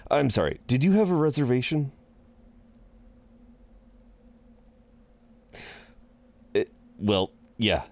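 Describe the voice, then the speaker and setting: A man's voice: lowish voice, dry recording, exaggerated voice. A man playing a flirtatious, chatty incubus innkeeper in a roleplay audio drama, welcoming and rambling with hesitant asides. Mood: puzzled, reassuring. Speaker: innkeeper at a front desk.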